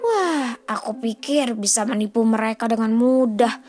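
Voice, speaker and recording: A woman's voice: on the high side, moderate pace, darkish tone, faint music behind, lightly acted. A woman voicing a greedy, wicked, scheming male wolf in a children's storybook tale. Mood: gloating, scheming.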